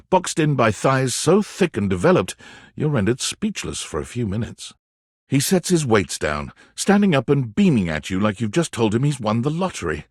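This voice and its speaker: An actor narrating a video game in the second person, in a wry storytelling manner. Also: male voice, lowish voice, slightly rough, no room sound, clean studio sound. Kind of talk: scripted narration.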